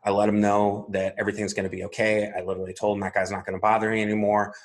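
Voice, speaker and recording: Man, 40s, from Arizona, lowish voice; calm, methodical guest telling his story. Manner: measured, methodical, step-by-step telling. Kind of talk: recounting a personal story.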